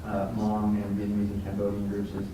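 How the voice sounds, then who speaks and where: A young man running for Congress answers audience questions at a luncheon, earnest and halting, with many fillers. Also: fairly low pitch, completely flat delivery. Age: young adult. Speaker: congressional candidate. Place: banquet room at a luncheon. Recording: echoey room, from far off, noisy.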